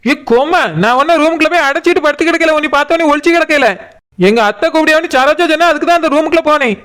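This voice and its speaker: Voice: high-pitched, rapid-fire, fairly bright tone. A performer voicing an indignant, sarcastic village daughter in a comic cartoon, with a Kanyakumari Tamil accent. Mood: aggrieved, scolding. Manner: scolding, sarcastic. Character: indignant village daughter, scolding her mother.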